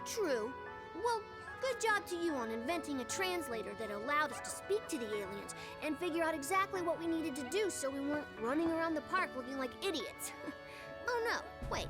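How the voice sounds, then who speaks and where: Cartoon game sidekick: young boy fox, very high voice, swooping, eager and earnest, loud music underneath. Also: sing-song, brisk. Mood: wry. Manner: bright-eyed, friendly, earnest. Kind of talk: animated video game cutscene acting.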